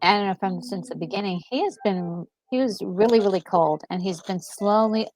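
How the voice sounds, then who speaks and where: A woman gaming co-host talking casually and enthusiastically, thinking aloud in a halting way as she reacts to a game. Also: medium pitch, a little mellow, faint music behind, rough recording. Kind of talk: casual reaction chat about a video game.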